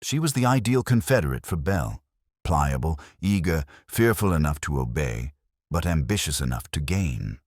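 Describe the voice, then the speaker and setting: A man reads the part of a ponderous genius detective in an audiobook story. The voice is very low and dryly recorded, with a wide, deliberate swing in pitch as he delivers cool, formal judgments. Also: very animated, no room sound, clean studio sound, full character voice. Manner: measured, formal, aphoristic pronouncements. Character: ponderous, brilliant, imperious male detective, coolly judgmental. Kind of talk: audiobook character dialogue.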